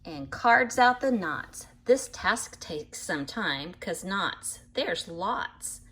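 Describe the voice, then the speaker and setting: A woman reading a picture book aloud to children in an animated way, pausing to explain things patiently in simple words. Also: medium pitch. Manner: animated, acts out animal sounds, addresses young listeners. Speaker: read-aloud storyteller for children.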